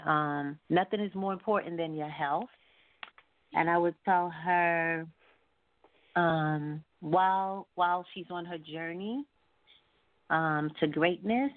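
A woman with a fairly low voice talks through a thin, phone-like connection with very little room sound. She is an interview guest, speaking casually and thoughtfully, pausing as she finds her words. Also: no echo. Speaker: guest, R&B singer. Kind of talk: casual radio interview, giving advice. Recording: tinny, phone-like narrow-band sound.

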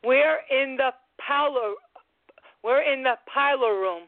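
Slow, gentle maternal mother, played by a woman. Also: high voice, dry recording, phone-quality sound. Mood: welcoming. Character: gentle maternal mother in 1930s small town. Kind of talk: amateur radio drama acting.

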